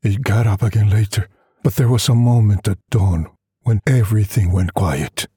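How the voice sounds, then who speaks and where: A man narrating a literary story in a measured, solemn way, with a husky, breathy voice. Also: fairly low pitch, mellow, dry recording, clean studio sound. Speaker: audiobook narrator.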